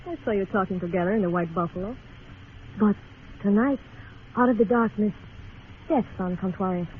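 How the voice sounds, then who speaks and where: A woman's voice: mid-range voice, normal speed, natural voice. A woman playing a mysterious, fatalistic young temptress, languid and teasing, in an old radio western. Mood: matter-of-fact, ominous. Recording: muffled, faintly noisy old radio recording.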